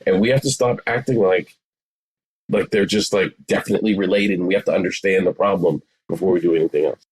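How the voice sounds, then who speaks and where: A man's voice: sits low, quick pace, breathy edge, rough recording. A man in his forties explaining things conversationally as an interview guest, emphatic and repeating phrases for stress. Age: 40s. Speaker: psychotherapist, podcast guest.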